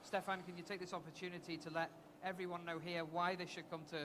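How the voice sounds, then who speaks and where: A man's voice: high-pitched, little pitch movement, quick pace, a little hiss or hum. A man moderating a live conference panel, wrapping up the session in an upbeat way.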